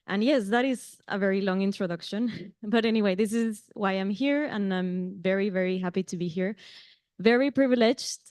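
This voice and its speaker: A woman's voice: medium pitch, moderate pace, no room sound, studio quality. A woman from Mexico with a Mexican Spanish accent, a native Spanish speaker, moderating a conference panel in English with friendly laughs and many ums and restarts. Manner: warm, light laughs, frequent ums and restarts. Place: conference venue.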